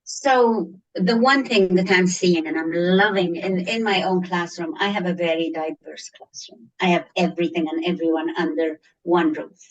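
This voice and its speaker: A woman's voice: fairly low pitch, steady tone, moderate pace, few pauses, recorded from a distance, muffled recording. Earnest woman with a thick Egyptian accent. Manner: earnest, emphatic, repeats phrases for stress. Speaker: teacher, guest. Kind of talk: conversational interview answers.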